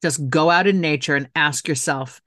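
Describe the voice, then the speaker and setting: A woman's voice: low-pitched, moderate pace, a bit bright, no room sound, clean studio sound. A middle-aged woman speaking as an interview guest, emphatic and heartfelt, repeating phrases for stress. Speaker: guest, author and city council member. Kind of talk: earnest interview talk.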